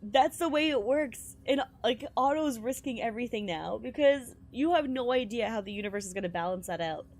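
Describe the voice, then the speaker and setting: Animated, higher-pitched woman streaming a game. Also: brisk, hardly any pauses. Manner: slangy, exclamatory, swearing. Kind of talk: casual gameplay reaction commentary. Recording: music behind the voice. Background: game music.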